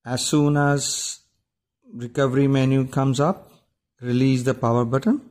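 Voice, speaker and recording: A man giving an informal step-by-step tech tutorial, explaining in a halting, repetitive way. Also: medium pitch, little pitch movement, a little mellow. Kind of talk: informal step-by-step how-to narration.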